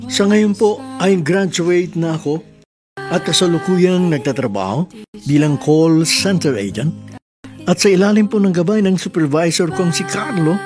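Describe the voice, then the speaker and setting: A male radio host delivers scripted narration for a love story. His voice is somewhat high for a man, with a solemn, measured and sentimental delivery. Music runs beneath him. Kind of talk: scripted letter reading and moral advice.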